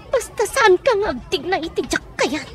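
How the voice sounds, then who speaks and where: A woman's voice: very high-pitched, sing-song, normal speed, crowd noise. A woman acting a menacing, commanding kidnapper in a radio drama, with an Ilocano Filipino accent. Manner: commanding, interrogating. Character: menacing woman kidnapper threatening her captive with a knife.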